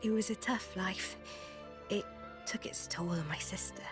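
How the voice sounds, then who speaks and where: An actress plays a feisty young woman, a fallen noble turned drifter, for a video game cutscene. She takes her time over her words in a mid-pitched voice. Music plays behind her blunt, informal talk. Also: a little slow, close to an everyday voice. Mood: wistful, sad. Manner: informal, cheeky, slangy.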